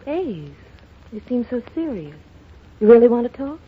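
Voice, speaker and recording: A woman's voice: on the high side, big pitch swings, normal speed, husky. An actress in 1930s radio drama style, playing a self-possessed, sophisticated young society woman with a poised, coolly romantic manner. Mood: tender teasing. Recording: muffled, noisy vintage 1930s radio broadcast.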